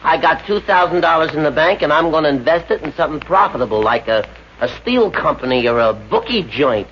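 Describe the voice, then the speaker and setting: An actor playing a cheeky, tight-fisted pest of a boarder in a 1950s radio comedy. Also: male voice, a little high, expressive, brisk, natural voice. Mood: cheeky, smug. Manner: cocky, mock-dramatic. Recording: muffled, noisy old radio recording.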